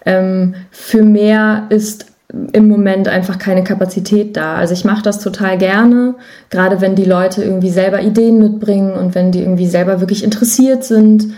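A woman with a soft-edged, mellow voice at a middle pitch talks as an interview guest. She is chatty and candid, explaining her work in a casual, self-deprecating way. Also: fairly even intonation, moderate pace. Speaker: podcast guest, musician and voice artist.

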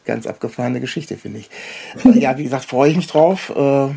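A man with a medium-pitched voice hosts a casual podcast interview. He talks haltingly and feels his way through meandering sentences. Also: lively intonation, vocal fry, warm tone, husky. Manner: hesitant, halting, meandering phrasing. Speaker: podcast host.

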